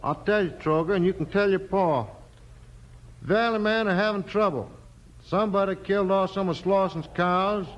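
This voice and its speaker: An actor playing a firm, fair-minded sheriff with folksy American western speech in a 1950s radio drama. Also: male voice, high-pitched, moderate pace, dull audio, close to an everyday voice. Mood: calm authority. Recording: faintly noisy vintage radio recording.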